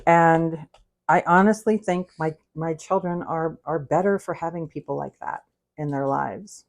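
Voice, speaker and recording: A woman's voice: sits low, measured pace. A woman in her sixties with an American accent, chatting casually in a candid, meandering way, often interrupting herself as she hosts a cooking livestream. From United States. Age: 60s. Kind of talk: casual rambling livestream chat while cooking.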